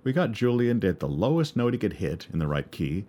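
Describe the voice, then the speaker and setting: A man with a baritone voice narrating a personal story in a wry, measured way. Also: big pitch swings, dark tone. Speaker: podcast narrator and musician.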